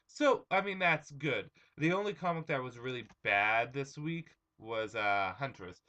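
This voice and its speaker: Slightly hoarse young man, bright chatty vlog. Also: medium pitch, lively intonation, a little slow, lo-fi sound. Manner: chatty, many ums and uhs, restarts. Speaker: comic review vlogger. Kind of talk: casual vlog talk.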